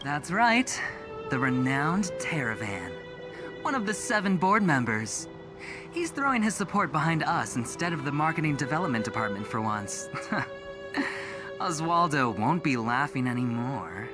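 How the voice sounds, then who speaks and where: An actor playing a smug, smooth-talking young executive with a gambler's temper in a video game, teasing and theatrical. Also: male voice, fairly high pitch, big pitch swings, measured pace, music in the background. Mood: self-satisfied. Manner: teasing, theatrical, self-satisfied.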